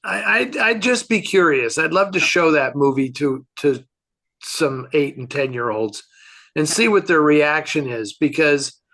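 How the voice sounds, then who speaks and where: A man chatting casually as a film expert answering questions, halting and thinking aloud as he offers his opinions. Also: a little high, expressive, creaky, pushed and bright.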